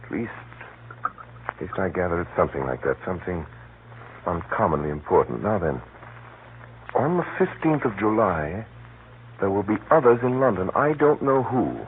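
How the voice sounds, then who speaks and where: An actor playing an urgent, shaken gentleman telling of danger in a 1930s radio drama, with dramatic, emphatic delivery. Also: sits low, lively intonation, moderate pace, long pauses. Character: urgent, shaken gentleman recounting a deadly spy conspiracy. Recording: scratchy, muffled 1930s radio recording.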